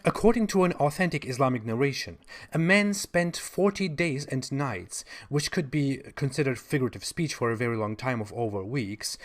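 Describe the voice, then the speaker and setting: A man with a German accent giving a scripted commentary, with dry sarcastic asides. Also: mid-range voice.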